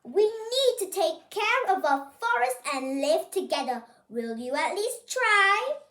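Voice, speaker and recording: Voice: extremely high pitch, very animated, slow pace, pushed and bright, echoey room, heavily acted. A storyteller voicing worried forest animals and people in a storybook, making an urgent, imploring appeal.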